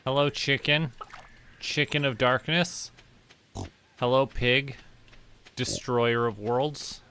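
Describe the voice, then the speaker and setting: A man giving casual gameplay commentary, rambling and relaxed as he talks to himself. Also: medium pitch, slow pace, a little hiss or hum. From Canada. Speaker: streamer.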